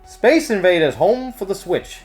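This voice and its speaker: A playful co-host reads out event listings for a store news show with a very animated, swinging pitch. The talk is casual and full of joking asides, with light music in the background. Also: unhurried. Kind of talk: casual banter while reading out event listings.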